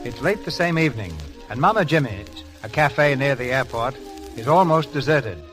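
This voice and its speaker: A man narrating formally as host of a 1930s radio drama, with measured scene-setting, on an old broadcast recording. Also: mid-range voice, expressive, over music.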